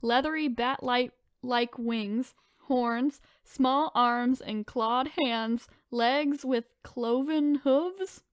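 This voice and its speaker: High-voiced woman, chatty podcast co-host. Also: extremely slow, no room sound, clean studio sound. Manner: informal, chatty, frequent asides. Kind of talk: casual chat, reading out descriptions.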